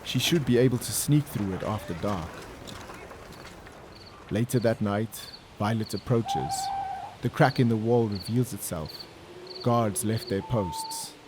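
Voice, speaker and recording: A man giving solemn, measured, scripted narration for a dramatic audio story. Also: lowish voice, background noise. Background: music and ambience.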